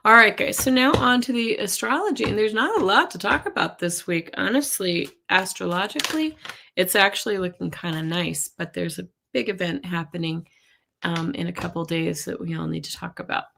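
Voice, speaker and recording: Husky-voiced woman hosting a live stream. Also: fairly low pitch, normal speed, bright, forceful tone, slight background noise. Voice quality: husky, gravelly voice. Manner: chatty, reacts to comments, coughs and clears throat. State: hoarse from losing her voice. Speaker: tarot and astrology livestream host. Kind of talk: casual live chat reading viewer comments.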